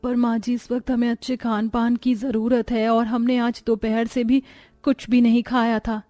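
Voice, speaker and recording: A woman's voice: fairly high pitch, flat delivery, normal speed, dark tone, no room sound, close to an everyday voice. A woman playing a meek, suffering young pregnant daughter-in-law in a cartoon story, speaking timidly and plaintively. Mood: meek, pleading. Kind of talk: Hindi cartoon story voice acting.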